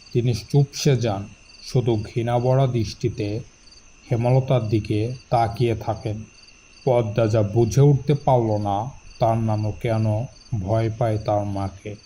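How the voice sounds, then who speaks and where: A man's voice: on the low side, steady tone, takes it slowly, dark tone, a little hiss or hum, low-quality recording. A man reading scripted story narration.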